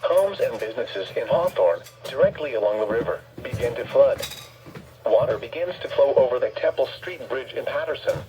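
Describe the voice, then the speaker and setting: A synthetic male announcer reading a scripted emergency weather bulletin in a flat, formal way through a weather radio speaker. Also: medium pitch, normal speed, music in the background. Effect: played through weather radio speaker. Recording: dull radio speaker, faint background noise.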